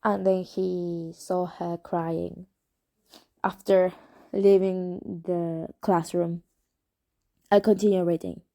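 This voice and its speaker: A young woman with a Spanish accent reads a novel aloud in English, taking her time at a slow pace. Her voice is soft and warm. She breaks off for chatty comments, hesitates often and corrects her own words. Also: on the low side, flat delivery, gravelly. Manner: unhurried, hesitant, self-correcting. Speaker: amateur reader of a novel. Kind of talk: casual reading aloud with chatty asides.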